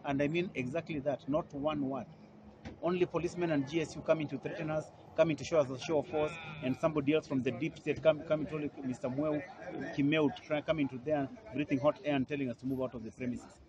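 A man's voice: fairly high pitch, steady tone, quick pace, muffled recording, crowd noise. A man, a lawyer speaking to the press outdoors at an airport, indignant and emphatic, repeating phrases for force. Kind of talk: press interview. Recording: noisy outdoor field recording.

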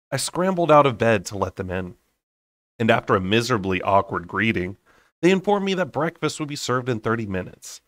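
A young adult man narrating a fantasy novel as an amateur audiobook reader, with deliberate, dramatic phrasing. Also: lowish voice, a bit bright, no echo, studio quality. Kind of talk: unedited expressive audiobook reading of a fantasy novel.

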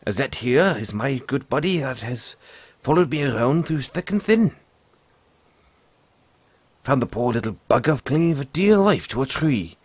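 A man playing a gruff but friendly forest dragon tamer, talking in a folksy way straight to the listener in an audio roleplay. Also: medium pitch, animated, a little slow, dry recording. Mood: friendly, explaining. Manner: folksy, teasing, calls the listener lad.

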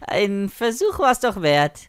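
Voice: mid-range voice, a little hiss or hum. A performer voicing a cocky, laid-back young cartoon hedgehog who talks in a flippant, slangy way. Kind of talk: cartoon fandub.

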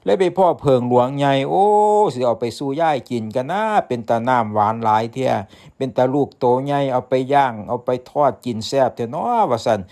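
A man's voice: mid-range voice, expressive, fairly quick, vocal fry, a little mellow. A man with an Isan accent narrating a folktale, folksy and speaking straight to his listeners. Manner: folksy, addressing listeners directly. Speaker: folktale storyteller. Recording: music behind the voice.

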